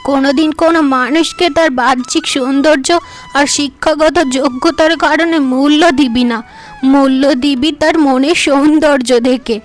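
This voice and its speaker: A woman voicing an elderly village mother-in-law in a cartoon, tender and forgiving, speaking in a slow, affectionate drawl. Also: sits high, fairly even intonation, close to an everyday voice. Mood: gently advising. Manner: slow, drawn-out, affectionate speech.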